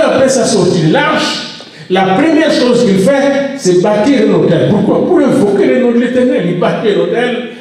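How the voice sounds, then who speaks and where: A man's voice: sits high, darkish tone. A man preaching a sermon, speaking emphatically and exhorting his congregation directly. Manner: emphatic delivery with repeated exhortations and addresses to the congregation. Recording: echoey room, distant microphone.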